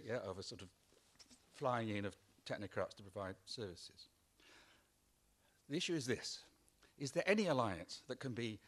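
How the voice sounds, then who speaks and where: Rhetorical man asking pointed questions. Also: medium pitch. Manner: rhetorical, checks in with "yeah?". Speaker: conference participant. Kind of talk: argumentative question in a panel discussion.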